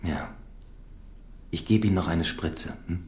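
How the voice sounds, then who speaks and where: An actor playing a sympathetic prison doctor, calm and kind, in a radio play. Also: male voice, sits low, unhurried. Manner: calm, kind, frustrated at authorities. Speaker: doctor Cassini.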